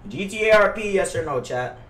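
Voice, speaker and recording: A man's voice: sits high, expressive, a little fast, fairly bright tone, reverberant, far from the mic. A young American man in his twenties chatting casually on a gaming livestream, profane, slangy and repetitive in his griping. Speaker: game streamer. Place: indoor home gaming room. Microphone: headset mic.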